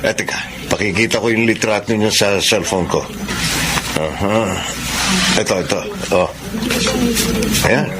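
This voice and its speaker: An actor playing a devoted, sincere Filipino boyfriend in a radio drama, with an emphatic, heartfelt delivery. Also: male voice, lowish voice, unhurried, pauses often, background noise, lightly acted. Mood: cheerful. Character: Sandro, devoted earnest boyfriend, romantic and sincere.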